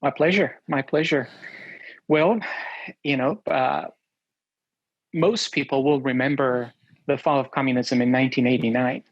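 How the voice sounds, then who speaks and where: A man's voice: mid-range voice, fairly even intonation, dry recording, lo-fi sound. Romanian-born man telling his story. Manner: halting, many uh and um fillers. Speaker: guest.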